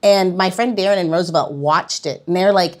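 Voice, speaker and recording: Lower-voiced woman telling a story. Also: fairly quick. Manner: storytelling, laughs often. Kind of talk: casual chat.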